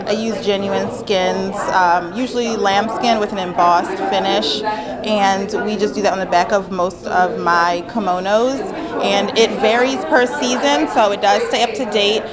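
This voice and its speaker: A woman fashion designer answering interview questions in a relaxed, thoughtful way, with many fillers. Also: mid-range voice. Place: fashion presentation event. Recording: music playing behind. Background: music.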